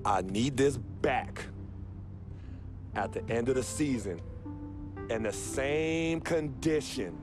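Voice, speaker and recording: A man's voice: mid-range voice, animated, slow pace, pauses often, over music. A man playing a wary young basketball star in a video game cutscene, laconic, firm and skeptical. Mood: firm, warning.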